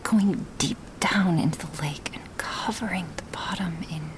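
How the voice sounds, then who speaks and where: A woman playing a gentle, apologetic female dragon in a soft-spoken ASMR roleplay, breathy and formal in her careful phrasing. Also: lowish voice, unhurried, slight background noise, natural voice. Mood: awed, tender. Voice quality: breathy, half-whispered.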